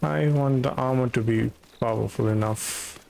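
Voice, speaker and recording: A man's voice: medium pitch, moderate pace, gravelly, a little mellow. A man giving casual live gameplay commentary, thinking aloud in short murmured phrases into a desk microphone. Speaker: live streamer playing a video game. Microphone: USB desk microphone.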